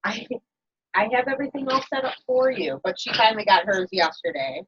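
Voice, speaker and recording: A woman in her late thirties telling stories on a group video call, quoting herself and others with animated delivery. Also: medium pitch, fairly quick, slight background noise. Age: 30s. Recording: dry, muffled video call audio.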